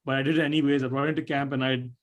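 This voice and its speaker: A man's voice: medium pitch, little pitch movement, very fast, lo-fi sound. A young man from Nepal answering webinar questions about his college choice, rambling with many fillers and restarts over a video call. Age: young adult. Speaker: admitted student panelist.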